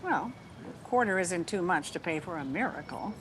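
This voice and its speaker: A woman's voice: fairly low pitch, lively intonation, moderate pace, heavy background noise, lightly acted. A woman playing a wry, wisecracking single woman with dry, sardonic delivery in an old-time radio comedy. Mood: wry amusement. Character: Miss Brooks, wry, wisecracking single woman, a cat owner.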